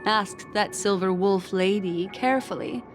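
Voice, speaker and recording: A woman's voice: medium pitch, measured pace, over music, close to an everyday voice. A woman playing a poised, needling envoy in a video game, speaking in a theatrical, knowing, condescending way. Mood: taunting. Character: poised, needling female envoy and exposer of lies.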